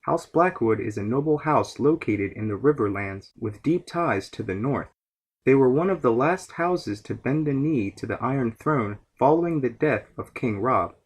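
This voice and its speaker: Slightly husky male podcast narrator. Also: mid-range voice, lively intonation, moderate pace. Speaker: podcast host. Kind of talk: scripted explanatory narration on fantasy lore.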